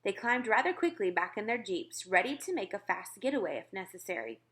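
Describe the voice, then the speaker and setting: A woman with a bright, forceful voice of middling pitch reads a children's story aloud. Her narration is expressive and dramatic, leaning deliberately on key words.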